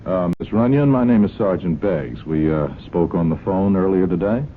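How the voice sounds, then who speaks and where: A man plays a police sergeant in an old radio drama, with a deep voice and a courteous, hesitant, probing manner. The sound is tinny, with light hiss. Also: moderate pace, natural voice. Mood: polite, hesitant.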